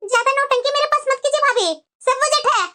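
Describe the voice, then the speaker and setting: A woman's voice: extremely high pitch, fairly even intonation, a little fast, pushed and bright. A woman voicing a demanding, sulky young sister-in-law in a family cartoon drama, with a whining, scolding, insistent manner. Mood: sulky, accusing. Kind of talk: Hindi family drama storytelling.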